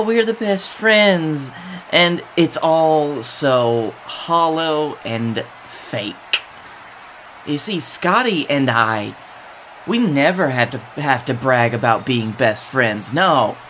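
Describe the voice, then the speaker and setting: A man playing an aggrieved, self-righteous wrestler giving a theatrical promo with emphatic pauses. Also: medium pitch, a bit bright, a little hiss or hum.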